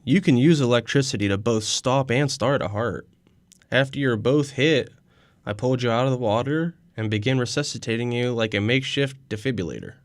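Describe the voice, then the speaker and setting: A man's voice: mid-range voice, brisk, no echo, natural voice. Man as a matter-of-fact creature ally, dry sound. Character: electric non-human creature ally, matter-of-fact and loyal. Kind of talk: radio drama acting.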